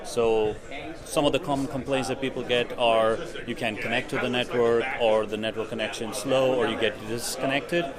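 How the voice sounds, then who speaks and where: A man's voice: medium pitch, steady tone, moderate pace. A man giving an informal, explanatory product demonstration as a company representative. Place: indoor tech conference event. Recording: busy, noisy conference hall crowd. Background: crowd chatter.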